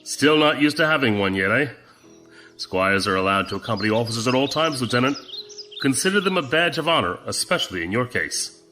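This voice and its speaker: An actor playing a seasoned, fatherly admiral of a space empire in an audio drama, measured and authoritative. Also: medium pitch, lively intonation, music in the background, lightly acted. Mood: avuncular, at ease.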